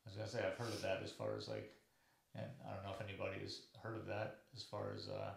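A man's voice: lowish voice, little pitch movement, fast talker, gravelly. A man interviewing a guest at a table, asking hesitant, restarting questions. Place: small room at a table. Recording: echoey room.

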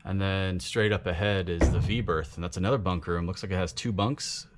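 A man in his thirties vlogging casually from inside a damaged sailboat cabin, swearing freely and filling pauses with ums. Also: sits low, fairly even intonation, background noise. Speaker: sailing vlogger. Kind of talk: casual vlog narration. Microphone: handheld action camera close up.

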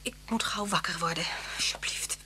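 A woman's voice: lowish voice, moderate pace, lightly acted. An actress playing a frightened, faltering woman in a Dutch radio play. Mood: terrified. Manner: faltering, whispering when afraid. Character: frightened woman alone at home with suspected killer. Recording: humming, noisy old radio recording.

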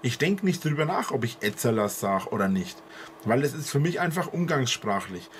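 A man with a southern German dialect explaining himself earnestly to camera, halting with fillers. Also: mid-range voice, very animated, slight background noise. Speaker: video creator explaining himself. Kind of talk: monologue to camera.

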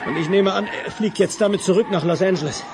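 A man playing a poisoned, weakening action hero in a German radio play, speaking haltingly. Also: high voice, background noise, close to an everyday voice. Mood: urgent, strained. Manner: halting, stammering delivery. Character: poisoned action hero, gasping, fading but determined.

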